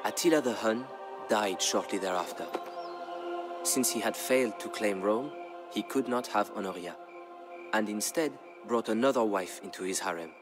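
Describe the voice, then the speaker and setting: A middle-aged man giving a scripted, dramatic historical narration in a solemn, measured storytelling manner. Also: fairly low pitch. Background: background music.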